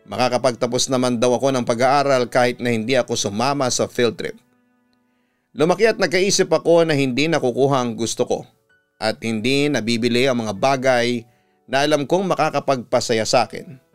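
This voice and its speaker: A man with a Filipino accent narrating a scripted story as a radio announcer, measured and clearly enunciated. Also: medium pitch. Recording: music bed under the voice. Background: music.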